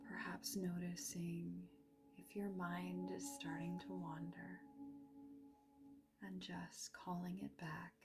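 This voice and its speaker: Female meditation guide: very slow, breathy and soft, some creak in the voice, over music. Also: on the low side, flat delivery. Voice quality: soft, breathy, half-whispered. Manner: gentle, unhurried, with long pauses. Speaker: meditation guide, yoga teacher.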